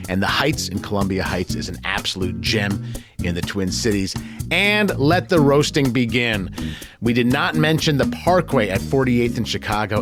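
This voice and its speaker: American man hosting with music behind. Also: medium pitch. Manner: playful, jokey banter. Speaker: podcast host. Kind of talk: conversational podcast hosting.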